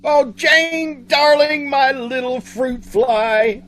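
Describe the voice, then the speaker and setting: A man's voice: extremely high pitch, flat delivery, extremely slow, fairly bright tone, exaggerated voice. A man playing a fretful, gushing newlywed husband in an old-time radio comedy-mystery. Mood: lovestruck. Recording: faint background noise.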